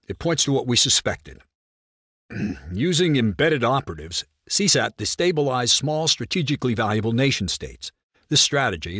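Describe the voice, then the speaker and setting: A man acting as a composed, brisk military commander giving clipped, authoritative briefings in a video game cutscene. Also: mid-range voice, fairly bright tone, husky, no echo, clean studio sound. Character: composed, brisk military intelligence commander running covert operations.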